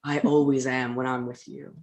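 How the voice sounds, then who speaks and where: A woman playing a drained, evasive young male scientist in a period drama reading, halting and flustered. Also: extremely low pitch, level tone, darkish tone.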